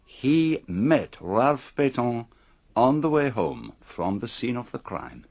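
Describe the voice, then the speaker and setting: An actor playing a precise, theatrical detective in a radio mystery, speaking formally with dramatic pauses. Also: male voice, mid-range voice, big pitch swings, a little mellow. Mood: probing, triumphant. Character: precise, theatrical male foreign detective revealing a murderer.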